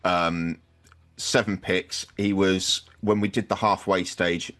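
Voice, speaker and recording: A man's voice: deep voice, little pitch movement, takes it slowly, a bit bright. A man hosting a casual sports podcast, talking through picks in a conversational way. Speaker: podcast host.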